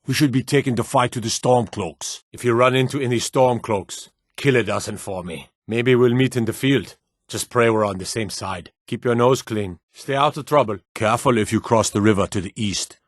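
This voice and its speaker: An actor playing a stern, world-weary male town guard in a fantasy video game, speaking in a terse, gruff manner. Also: mid-range voice, moderate pace, no echo, clean studio sound, natural voice. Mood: gruff, scornful. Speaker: game character voice.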